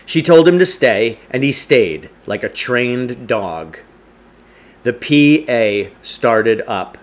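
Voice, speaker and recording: A man's voice: medium pitch, animated, takes it slowly. A middle-aged man reads a story aloud in a home living room. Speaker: teacher reading aloud. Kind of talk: audiobook-style story reading. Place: indoors, home living room with wood stove.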